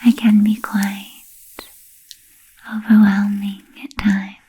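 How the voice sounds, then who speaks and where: A woman voicing a genteel, ancient female vampire in a whispered ASMR roleplay, speaking softly and breathily with halting, formal, fussy phrasing. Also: mid-range voice, little pitch movement, husky, low-quality recording. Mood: gracious, musing. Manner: halting, hesitant, formal old-fashioned phrasing.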